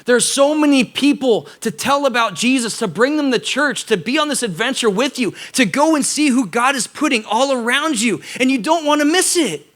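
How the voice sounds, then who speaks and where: A young adult man preaching an energetic, casual sermon to students, addressing them directly. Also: extremely high pitch, moderate pace, few pauses. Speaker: youth pastor.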